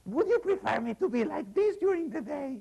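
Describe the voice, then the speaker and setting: A man voicing Lady Ragnell, an enchanted young noblewoman in a spoken fairy tale, with a high, earnest voice. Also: big pitch swings, brisk, creaky, mellow, muffled recording, exaggerated voice. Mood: pleading. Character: enchanted young noblewoman, bride under a spell.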